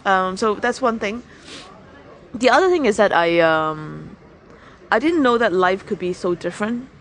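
A woman's voice: mid-range voice, expressive, a little slow, a bit bright. Woman, frank winery owner. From Hong Kong. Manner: frank, with frequent restarts and hesitations. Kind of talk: casual interview chat.

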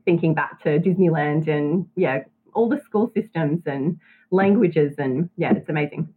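A woman's voice: low voice, measured pace, breathy edge. Australian woman on a tinny line. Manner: chatty, laughs often. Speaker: guest, business owner. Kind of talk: casual interview.

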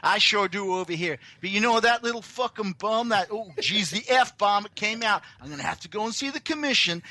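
A brash, crude surf boss rambles and boasts in a high, sharp, bright voice, as a man puts him on. The sound is dry and close. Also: no room sound. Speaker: caller on satellite phone.

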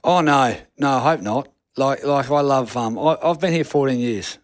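A man's voice: medium pitch, moderate pace, no echo, studio quality. A middle-aged man with a New Zealand accent, a rugby coach answering interview questions frankly, with many restarts. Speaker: rugby assistant coach guest.

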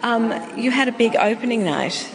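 A woman's voice: on the high side, lively intonation, moderate pace, crowd noise, poor audio. A woman conducting a conversational podcast interview on location, thinking aloud as she prompts and affirms her guest. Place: indoors in a gallery exhibition. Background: exhibition film soundtrack music.

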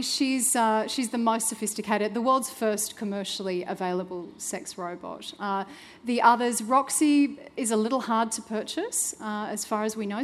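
A woman speaking on a discussion panel, hesitant with lots of fillers, joking lightly as she explains. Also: on the high side. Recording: reverberant hall with faint noise.

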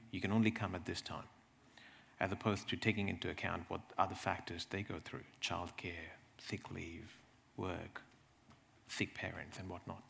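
A man giving a slide presentation, explaining things in a halting way with repeated words and asides. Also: sits low, vocal fry, fairly bright tone. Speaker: presenter from a health service.

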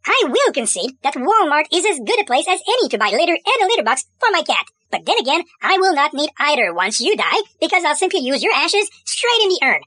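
Fast sarcastic woman ranting. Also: high-pitched, sing-song, fairly bright tone, no echo. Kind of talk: comedic rant.

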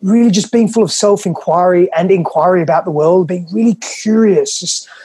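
A man's voice: sits high, moderate pace, mellow, breathy edge, dry recording. A man from Western Australia, whose was Greek, speaking earnestly and reflectively as a podcast guest, often restarting his phrases. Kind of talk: reflective interview talk.

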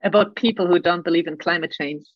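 A woman's voice: lowish voice, fast talker, no echo, muffled recording. A middle-aged woman with a German accent, answering interview questions with frequent stammered repeats and light laughs. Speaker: guest, climate scientist.

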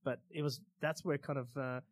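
A man's voice: mid-range voice, fairly even intonation, fairly quick, dry recording. Australian man telling his story, dry close sound. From Australia, born in Iran. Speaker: interview guest, stunt pilot and motivational speaker. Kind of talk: casual storytelling in an interview.

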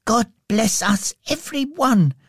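Guy doing a sweet frail little kid from a story, higher voice, fragile and gentle. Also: animated, measured pace, breathy edge, dry recording, clean studio sound, heavily acted. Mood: devout.

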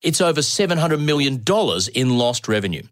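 A man's voice: medium pitch, big pitch swings, brisk, no echo, studio quality. A man hosting an interview, prompting his guest with curious questions. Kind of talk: podcast interview.

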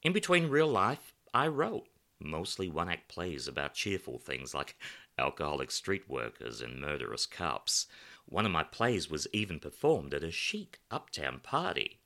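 A man's voice: very deep voice, measured pace, full character voice. A male narrator voicing a flamboyant, wry writer in his thirties with a faded American accent. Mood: wry, animated. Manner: lively, wry, macabre storyteller. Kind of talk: audiobook character voice.

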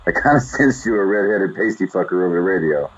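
Man playing a low-voiced sardonic buddy on radio. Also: animated, fast. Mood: concerned. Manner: slangy, sweary, calls listener bro. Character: cautious, sardonic male buddy advising over hand-held radio. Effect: hand-held radio. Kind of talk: audio drama dialogue. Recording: dull radio sound, faint noise.

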